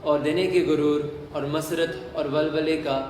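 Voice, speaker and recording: A man's voice: fairly high pitch, little pitch movement, measured pace. A man narrating a story in a literary, dramatic style. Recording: very echoey hall, faint noise.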